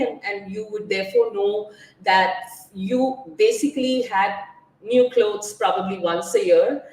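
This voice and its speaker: A woman from India, a nutrition guest talking openly and personally in a casual interview, often pausing on "uh" and restarting her sentences. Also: mid-range voice, recorded from a distance. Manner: open and personal, with frequent "uh" fillers and restarts. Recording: echoey room, voice set back from the mic.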